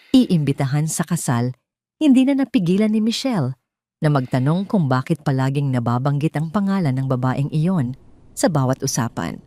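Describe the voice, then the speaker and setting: A Filipino woman narrates a scripted dramatic story. Her voice sits low for a woman. She delivers each phrase in a measured, theatrical way, as a storyteller would. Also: warm tone.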